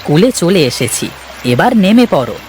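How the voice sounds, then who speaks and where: Cartoon river boatman, male actor: good-natured and obliging, very expressive pitch, over a slightly noisy outdoor bed. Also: normal speed. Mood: kindly, reassuring. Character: humble, good-natured village river boatman, cheerful and devoted. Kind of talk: animated story character acting.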